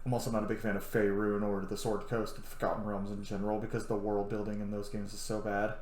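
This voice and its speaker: A man's voice: on the low side, completely flat delivery, fast, darkish tone. A man giving casual gameplay commentary, gushing and opinionated, swearing freely as he goes. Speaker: gamer commenting on a playthrough. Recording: roomy echo, faint background noise.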